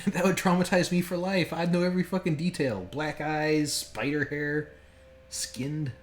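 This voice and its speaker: A man's voice: a little high, fairly even intonation, a little slow. A man giving casual, joking and sometimes profane commentary as a game streamer. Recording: roomy echo with faint background noise.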